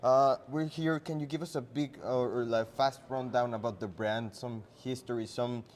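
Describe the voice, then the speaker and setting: A man's voice: mid-range voice, fairly even intonation, normal speed, a little mellow. A man whose first language is Spanish, interviewing in English, eagerly agreeing and nudging the talk along. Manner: eager, affirming with frequent "yes" and "of course". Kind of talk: casual interview.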